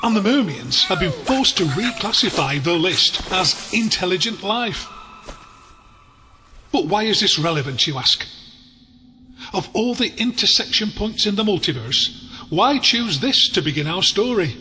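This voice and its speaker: A man playing a wry, erudite alien storyteller, narrating a scripted audio drama in a measured, deadpan way. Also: high-pitched, slight background noise, heavily acted.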